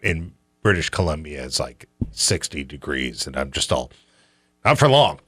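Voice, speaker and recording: Deep voiced middle aged guy, wry radio banter, really animated intonation. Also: moderate pace, slightly rough, dry recording. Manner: wry, mock-serious joking. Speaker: radio show co-host. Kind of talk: casual comic banter.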